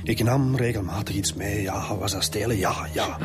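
A man's voice: on the low side, moderate pace, natural voice. An actor playing a weary, defensive middle-aged Flemish father in a radio drama. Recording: music under the dialogue.

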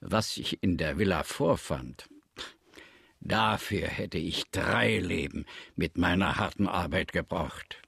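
A man's voice: low voice, takes it slowly, gravelly, fairly bright tone, husky, no echo, studio quality, full character voice. An actor playing a talkative, pious old villager grown rich, telling his story expansively in a radio drama. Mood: gleeful, boastful. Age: older.

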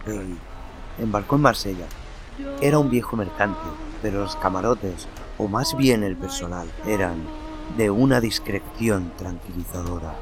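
A man narrating a horror story in a measured, dramatic way, with lingering pauses. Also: fairly low pitch. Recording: narration over background music.

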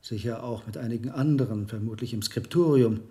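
An actor playing an earnest, musing monastery herbalist in a German radio play, speaking in a deliberate, thoughtful way. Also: male voice, medium pitch, mellow, natural voice. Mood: pensive, troubled.

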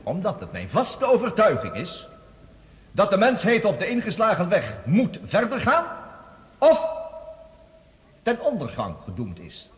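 A man's voice: high voice, huge pitch swings, a little slow, pauses often, a little hiss or hum, exaggerated voice. A man acting in a radio drama as an eloquent, self-assured scientist who addresses a world council in a theatrical, pompous way. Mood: combative, sardonic. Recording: hall-like reverberant radio drama recording.

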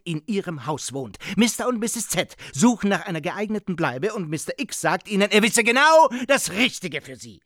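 Radio drama: stern, pompous husband, fairly high voice, indignant formal lecturing, dry sound. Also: male voice, fairly bright tone, dry recording. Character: indignant, pompous married man lecturing a guest. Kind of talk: German radio play acting.

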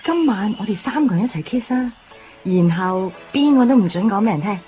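A woman's voice: medium pitch, expressive, brisk, natural voice. An actress playing a playful, teasing young woman in a Cantonese radio drama, with a Hong Kong accent. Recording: muffled radio drama with music bed.

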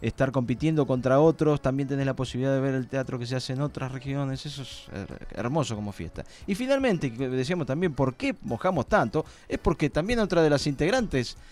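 A man's voice: medium pitch, expressive, fairly quick, hardly any pauses, a little mellow. A man with an Argentine accent hosting a live radio show in a casual, rambling, colloquial chat.

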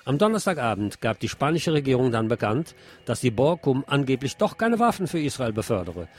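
A man presenting scripted news on community radio, reading in a measured reporting style with the odd dry aside. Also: medium pitch, big pitch swings.

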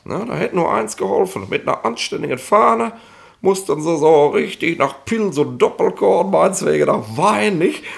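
An actor playing a smug, petty police inspector in a 1960s German radio play, rambling in officialese with colloquial tag questions. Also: male voice, high-pitched, expressive, normal speed, creaky, exaggerated voice. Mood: smug, blunt.

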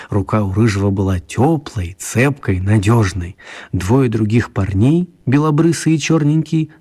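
Husky male storyteller for children's radio. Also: on the low side, mellow. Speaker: narrator.

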